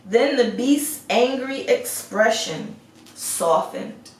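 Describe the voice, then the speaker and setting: A woman reading a fairy tale aloud as storyteller, measured and expressive, in an echoey recording. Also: fairly high pitch. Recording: echoey room, far from the mic.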